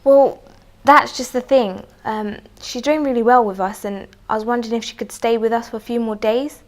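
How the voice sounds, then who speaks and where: Woman acting worried grown-up daughter, highish voice, polite n tentative, training film drama. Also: steady tone, normal speed, close to an everyday voice. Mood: tentative, upbeat. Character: worried, earnest adult daughter of a psychiatric patient. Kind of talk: acted training film scene.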